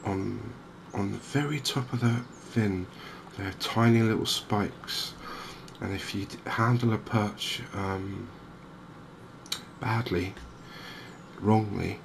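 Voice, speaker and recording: Slow, low-pitched, soft-spoken man. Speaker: gaming video host. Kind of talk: softly spoken ASMR game commentary. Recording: clear steady background noise.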